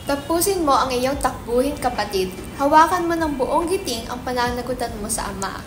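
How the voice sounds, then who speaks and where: A devout religious leader acted out by a woman with a high voice, solemn and formal. The delivery exhorts and prays in a staged reading. The room is echoey, with clear background noise. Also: lively intonation, a little fast. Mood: exhorting. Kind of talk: dramatic reading of a stage script.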